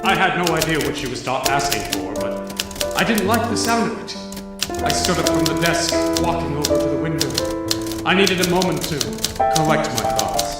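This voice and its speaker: Actor as hardboiled dimwit detective, high-pitched for a man, slangy theatrical patter, radio noir spoof, echoey hall. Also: expressive, moderate pace, natural voice. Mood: musing narration. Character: dim, self-assured hardboiled private detective, wisecracking. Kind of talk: live noir radio drama parody. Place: on stage in a theater. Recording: echoey theater, distant mic, loud music.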